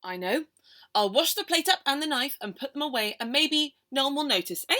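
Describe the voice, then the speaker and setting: A woman performs a poem for children as a storyteller. Her voice is fairly high and very lively, swinging widely in pitch. The delivery is theatrical and expressive. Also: brisk. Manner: theatrical, expressive, with hushed whispers and exclamations. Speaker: storyteller reading a poem aloud.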